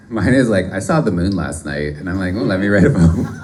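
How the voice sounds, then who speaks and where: A man's voice: low-pitched, lively intonation, normal speed, darkish tone, slight background noise. A man, a poet at a reading, answering questions in a playful, joking way and laughing between lines.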